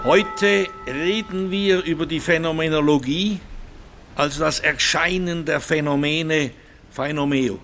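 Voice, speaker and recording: High-pitched, slow philosophy lecturer. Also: male voice, fairly bright tone. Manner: deliberate, formal. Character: didactic philosophy lecturer, precise and ponderous. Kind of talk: academic lecture in radio play.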